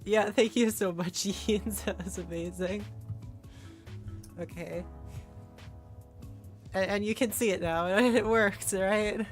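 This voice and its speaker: A woman's voice: medium pitch, fairly even intonation, slow, pauses often. A woman hosting a casual gaming livestream, chatting and reading aloud from a visual novel. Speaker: streamer, vtuber host. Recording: music playing behind the voice.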